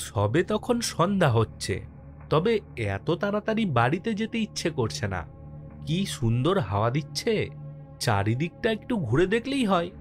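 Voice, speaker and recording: A man's voice: a little high, big pitch swings, moderate pace, faint music behind. An actor playing a jittery postmaster who narrates his own haunting in a suspenseful, theatrical horror cartoon style.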